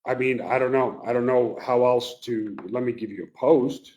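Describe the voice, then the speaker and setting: A man hosting a live webinar, talking earnestly and emotionally to his team, his voice getting a little raspy. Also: mid-range voice, fairly even intonation, moderate pace, a little mellow, lo-fi sound. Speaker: webinar host. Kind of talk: live webinar pep talk.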